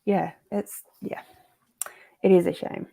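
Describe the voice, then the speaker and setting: Gravelly, mellow-voiced woman. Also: lowish voice, flat delivery, slow pace. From Australia. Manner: chatty, laughs often, reads comments aloud. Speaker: host. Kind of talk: casual livestream chat.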